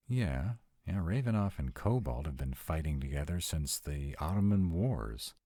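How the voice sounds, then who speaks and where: A man performs a sarcastic male vampire in an intimate roleplay audio, with an extremely low, husky voice. He talks expressively and conversationally, an anxious immortal who still sounds young at heart, his pitch swinging widely. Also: expressive, normal speed, dark tone, no room sound, clean studio sound. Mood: sardonic, musing. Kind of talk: intimate one-sided roleplay audio acting.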